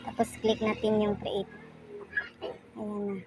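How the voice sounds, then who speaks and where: A woman with a Filipino accent giving a casual step-by-step tutorial in a halting, self-correcting way, like someone demonstrating as she goes. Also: mid-range voice, completely flat delivery. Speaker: tutorial presenter. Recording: noisy home recording with animal sounds.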